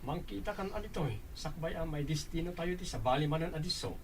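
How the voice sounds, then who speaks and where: A man's voice: fairly high pitch, quick pace, lightly acted. A man playing a lovesick young soldier, earnest and bantering with his buddy, with an Ilocano accent, in a comedy radio drama. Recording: noisy ambience behind the voice.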